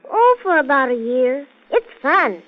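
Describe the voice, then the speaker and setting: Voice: high-pitched, big pitch swings, unhurried. An actor in an old-time radio drama playing an earnest, obedient six-year-old boy prodigy with a small childlike voice, hesitating before answering simply. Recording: muffled, noisy vintage radio recording.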